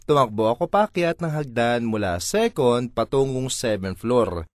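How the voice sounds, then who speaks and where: A man's voice: mid-range voice, expressive, measured pace, dry recording, studio quality. A man with a Filipino accent reads a comic monologue in a theatrical, exasperated manner. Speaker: monologue reader. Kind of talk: dramatic comic monologue reading.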